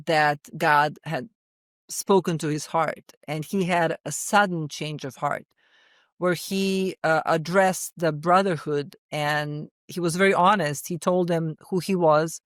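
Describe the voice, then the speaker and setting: A woman's voice: on the low side, unhurried, no room sound, clean studio sound. A woman from Serbia with a Serbian accent, a filmmaker being interviewed. She often hesitates, restarts and repeats words. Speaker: filmmaker guest.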